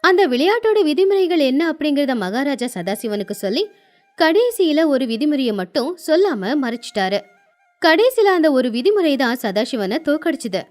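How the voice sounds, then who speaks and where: High, lively woman storyteller. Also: moderate pace, faint music behind. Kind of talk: animated moral story narration.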